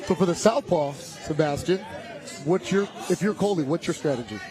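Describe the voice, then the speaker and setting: A man's voice: on the high side, moderate pace. A man giving live play-by-play commentary on a boxing match and questioning his guest analysts. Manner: energetic, asks guests questions. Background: music and crowd noise.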